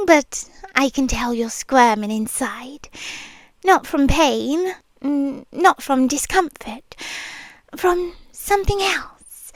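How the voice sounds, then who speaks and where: British woman doing a possessive young nurse, breathy whispers, unhurried teasing, dead-dry close mic. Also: high voice, no room sound, exaggerated voice, poor audio. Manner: slow, teasing, sweetly controlling. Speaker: ASMR roleplay performer. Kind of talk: F4M ASMR roleplay. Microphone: close mic, speaking into the ear.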